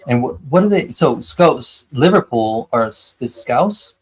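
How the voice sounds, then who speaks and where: Man, American accent, mid pitch, eager, rambling, fillers, casual live stream talk. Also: lively intonation, unhurried, breathy edge. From San Diego, United States. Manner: enthusiastic, rambling with many fillers and restarts. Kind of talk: casual chat.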